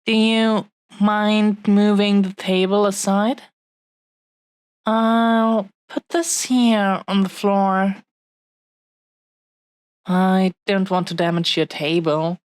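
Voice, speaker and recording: A woman acts out an adoring dragon-blooded young woman in an intimate romance roleplay. Her speech is extremely slow and tender, lingering over short phrases at a middle pitch. She is possessive yet affectionate, and the sound is dry, with hardly any room on it. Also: flat delivery, no echo, close to an everyday voice. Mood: flustered, playful. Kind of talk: intimate romantic audio roleplay addressed to the listener.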